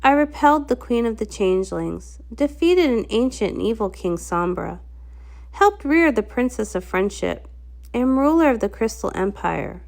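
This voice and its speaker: A woman storybook narrator with a high voice. She reads in a dramatic, theatrical first-person style, her pitch rising and falling widely. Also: moderate pace, creaky. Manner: theatrical, expressive. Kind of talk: dramatic first-person comic read-along.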